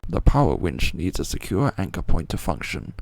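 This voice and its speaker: A man's voice: low-pitched, big pitch swings, moderate pace, creaky, a little mellow, soft background music, full character voice. A man playing a courteous, dryly witty tech aide in a video game fan dub. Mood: courteous, wry. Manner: polite, wry.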